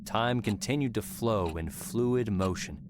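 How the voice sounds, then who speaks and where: A man narrating an audiobook in a measured, dramatic storytelling manner. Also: on the low side, noisy background. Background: background music.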